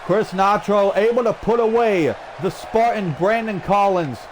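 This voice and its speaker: A man calling a video game wrestling match play by play, energetic with shouted exclamations and a strained throat. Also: high-pitched, a little slow. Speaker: play-by-play commentator. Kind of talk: excited wrestling commentary over video game. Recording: loud game crowd and noise behind. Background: video game wrestling crowd.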